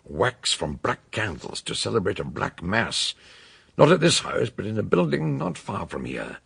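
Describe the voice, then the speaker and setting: An actor in a radio detective drama playing an eccentric older doctor, a grave scholarly sleuth who speaks in a ponderous, theatrical way, with a gravelly, husky voice. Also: male voice, on the low side, very animated, normal speed, a bit bright, full character voice. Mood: grave, emphatic.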